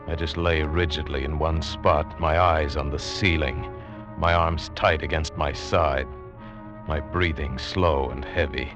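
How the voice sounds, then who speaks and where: An actor playing a grim hunted man who tells his own suspense story, tense and deliberate, in 1940s radio style. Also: very deep voice, muffled recording. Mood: grim, haunted. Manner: tense, deliberate dramatic delivery. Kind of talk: 1940s radio acting, first-person narration. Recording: vintage radio drama with music behind.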